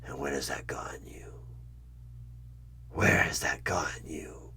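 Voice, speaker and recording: A man playing a sinister devilish tempter in a horror ASMR roleplay, slow and coaxing with a breathy, husky half-whisper and menace. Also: extremely low pitch, full character voice. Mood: persuasive, menacing. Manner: slow, menacing, coaxing, with sudden loud bursts.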